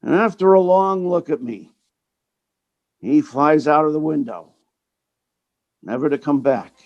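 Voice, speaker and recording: A man's voice: on the high side, a little slow, gravelly, muffled recording. A man playing a sentimental theatrical agent who tells his sad tale in a folksy, slangy, wistful way, in old time radio drama style.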